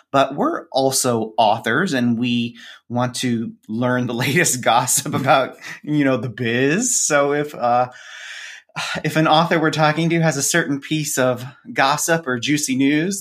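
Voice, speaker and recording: A man's voice: medium pitch, a bit bright. A man speaking casually as an interviewed podcast co-host, with a wry, dryly humorous way of talking.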